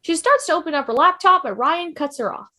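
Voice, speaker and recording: A woman with a high, animated voice reads scripted stage directions for a table read. Her narration is expressive, delivered over a video call. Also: brisk.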